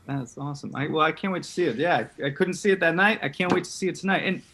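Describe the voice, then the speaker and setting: A man's voice: fairly high pitch, fast, background noise. A man hosting a casual interview over a video call. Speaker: host from a theatre group, interviewer.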